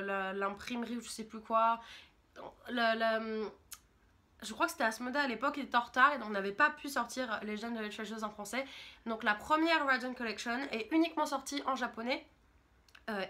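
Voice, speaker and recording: A young woman from France talks straight to her viewers in a loose, chatty, rambling way, clearly enthusiastic about her hobby. Her voice sits a little high and comes across bright and crisp. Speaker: YouTuber. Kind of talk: casual vlog monologue.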